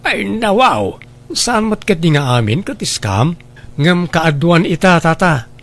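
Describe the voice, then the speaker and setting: Man acting an earnest male villager, fairly high voice, Ilocano accent, cautioning, exclamatory, audio drama. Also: very animated, takes it slowly, fairly bright tone, exaggerated voice. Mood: earnest, warning. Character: earnest village man warning about online job scams.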